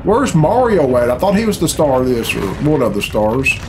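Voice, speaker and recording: A man's voice: mid-range voice, very animated, moderate pace. A man, giving blunt, grumbling, sweary commentary as host of a reaction video. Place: small furnished room at home, indoors. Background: loud game cutscene music.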